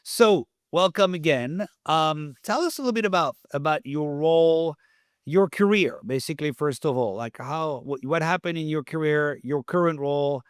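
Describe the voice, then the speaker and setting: A man's voice: fairly high pitch, normal speed, clear, resonant tone, no room sound, clean studio sound. A man hosting a podcast interview, chatty and prone to stumbling restarts as he asks questions and tells anecdotes. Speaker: podcast host.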